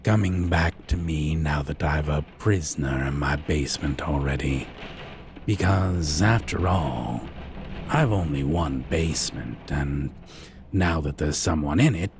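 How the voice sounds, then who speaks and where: A man's voice: a bass voice, measured pace, darkish tone, slight background noise. A man playing a haughty, sadistic vampire captor in an ASMR roleplay, with a drawling, condescending, menacing and archly formal manner. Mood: smug menace.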